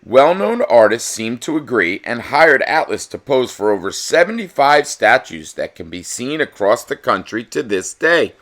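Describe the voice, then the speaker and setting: A man in middle age hosts a children's read-aloud with a bright, forward voice. His pitch moves up and down freely, and he tells things in an emphatic, storytelling way. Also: big pitch swings, moderate pace, hardly any pauses. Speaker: read-aloud host reading a children's picture book. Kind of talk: expressive children's storybook narration, with casual chat to viewers.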